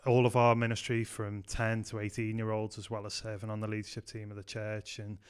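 A Scouse man from Liverpool with a smooth, resonant and slightly low voice. He tells stories casually on a podcast as a youth pastor, sprinkling in plenty of ums. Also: brisk. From Liverpool, England. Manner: chatty, frequent "um", animated anecdotes. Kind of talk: casual podcast interview storytelling.

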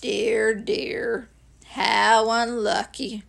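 A woman acts out a doleful old donkey from a storybook. He drags each phrase out very slowly, with some creak in the voice. The delivery is deadpan and full of gloomy doubt. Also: fairly high pitch, exaggerated voice. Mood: mournful deadpan. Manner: slow, deadpan, mournful.

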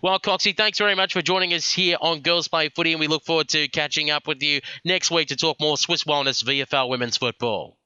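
A man with an Australian accent hosting a radio sports show, reading fixtures and wrapping up the program in a brisk announcer manner. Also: on the high side, bright, forceful tone, clean tone, no room sound, dull audio. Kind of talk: radio sports preview presenting. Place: radio studio.

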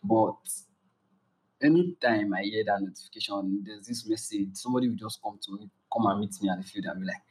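A young man, chatting casually and telling stories in a relaxed way, laughing along. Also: fairly low pitch, a little fast, distant mic, poor audio. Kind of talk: casual chat.

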